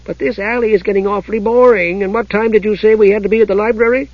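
Guy doing a high squeaky little kender for a fantasy audiobook, eager, chatty, super curious. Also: fast, no echo, muffled recording. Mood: polite impatience. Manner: excitable, guileless, polite chatter.

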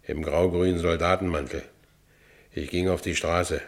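Low-voiced, brooding ex-convict. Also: male voice, fairly even intonation, moderate pace. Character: down-and-out Berlin ex-convict man, brooding yet defiant. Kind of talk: radio drama acting, first-person narration.

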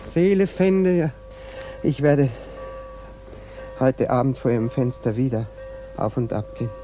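An actor in a German radio drama playing a courtly, smug, insistent suitor with a formal, halting manner. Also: male voice, medium pitch, expressive, over music, lightly acted, poor audio. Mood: pleading, insistent.

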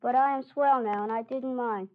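A woman's voice: on the high side, fairly even intonation, normal speed, close to an everyday voice. A woman playing a cheerful, grateful young schoolboy in a mid-century radio broadcast. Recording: dull, lo-fi old radio recording.

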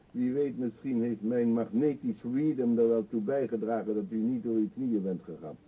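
An actor playing an eccentric, talkative older beggar in a radio drama, pushy and wry, confiding theatrically. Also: male voice, mid-range voice, measured pace, breathy edge. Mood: chatty, insistent. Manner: chatty, insistent, theatrically confiding. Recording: dull, muffled old radio play recording.

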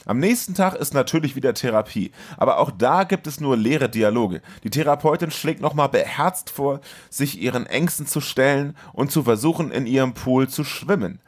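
A man's voice: mid-range voice, animated, normal speed, hardly any pauses. A man hosting a podcast, reading a scripted comic film recap in a wry, mocking manner. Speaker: podcast host.

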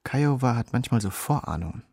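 Reflective soldier telling his war story, husky, dry close sound, radio play. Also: medium pitch, lively intonation, a little fast, darkish tone, no echo. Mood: quiet, watchful. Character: reflective American soldier recounting the Vietnam War. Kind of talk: scripted first-person radio drama narration.

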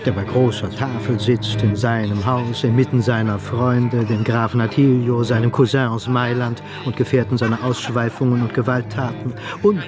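Male narrator, historical radio play, actor as storyteller, mid-pitched, clear music behind. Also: level tone, normal speed, few pauses, natural voice. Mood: narrating. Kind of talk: scripted radio drama narration.

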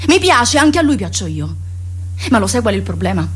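A woman's voice: medium pitch, sing-song, brisk, husky. An actress playing a proud, defiant young Sicilian woman in a radio drama monologue, with a Sicilian accent. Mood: wry, confiding. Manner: impassioned, dramatic, dialect-laced. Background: music.

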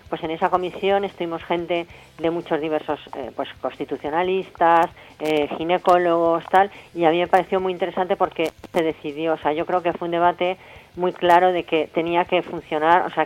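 A woman's voice: lowish voice, muffled recording, slight background noise. A middle-aged woman with an accent from Spain explains things emphatically and colloquially in an informal radio interview, often adding checking tag questions. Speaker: interviewed guest, health professional and feminist activist.

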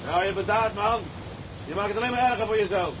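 An actor playing a stern, hot-tempered sea captain, blunt and commanding. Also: male voice, fast talker, natural voice. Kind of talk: dramatic screen acting. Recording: noisy drama soundtrack with engine rumble.

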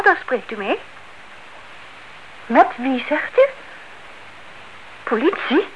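A woman's voice: sits high, a bit bright, full character voice. A woman playing a polite, practical young niece in a radio drama, speaking with composure. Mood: startled, concerned. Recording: dull, noisy old radio recording.

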